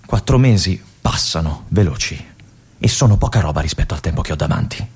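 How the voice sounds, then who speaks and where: An actor playing a restless young army lieutenant in a radio monologue, confiding and brooding in halting asides. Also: male voice, low voice, expressive, darkish tone, slightly rough, full character voice. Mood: uneasy. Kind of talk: theatrical monologue.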